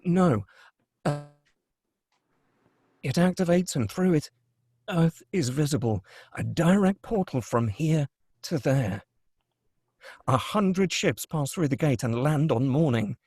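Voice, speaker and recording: A man's voice: fairly high pitch, animated, slow, pauses often, darkish tone, breathy edge, no echo, clean studio sound. A man narrating a screenplay, reading out scene headings and stage directions. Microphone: close, dry microphone.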